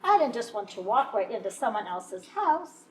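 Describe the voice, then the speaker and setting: A woman voicing an aggrieved, folksy male wolf who insists he is innocent, in an animated children's storybook read-aloud with drawn-out emphasis. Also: on the high side. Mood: aggrieved, explaining. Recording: echoey room, distant mic, faint noise.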